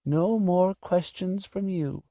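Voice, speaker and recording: A man voicing a kindly, soft-spoken old woman housekeeper with a sorrowful, fussing manner in an audiobook. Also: fairly high pitch, sing-song, a little slow, no echo, lo-fi sound, pitched above the performer's own voice. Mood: distraught. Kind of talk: audiobook character voice.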